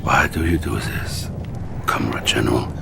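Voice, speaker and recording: A man playing a megalomaniac mind-controlling villain in a video game, menacing and drawn-out, often sinking into a breathy half-whisper. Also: a bass voice, heavy background noise, exaggerated voice. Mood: wounded grandeur. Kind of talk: game villain cutscene acting.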